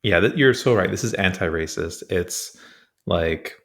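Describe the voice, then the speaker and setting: Deep-voiced laid-back man, laughing a lot. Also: a little slow, fairly bright tone. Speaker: podcast co-host. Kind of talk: casual comedic chat.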